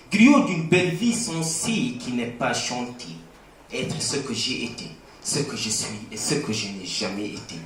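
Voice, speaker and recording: A man's voice: a little high, fairly bright tone. A man reciting slam poetry in a solemn, impassioned, declamatory manner. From Mauritania. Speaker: slam poet. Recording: very echoey, distant mic, faint noise.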